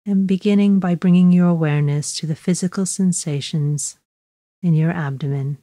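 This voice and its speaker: A woman guiding a body scan meditation in a slow, measured, gently instructive way, leaving long pauses. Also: fairly low pitch, no echo, clean studio sound. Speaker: mindfulness meditation guide.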